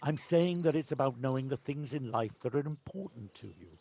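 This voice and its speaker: A man's voice: mid-range voice, a little fast, gravelly, dark tone, natural voice. A man playing a wise, affectionate village handyman in an audio play, plain-spoken and reassuring. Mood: calm, earnest. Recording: amateur home recording with background noise.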